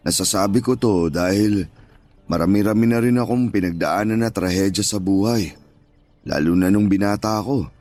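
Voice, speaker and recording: A man playing a kind, humble older Filipino street vendor and father, speaking gently and earnestly in a radio drama. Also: lowish voice, moderate pace, lightly acted. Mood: earnest, reassuring. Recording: music bed under the voice.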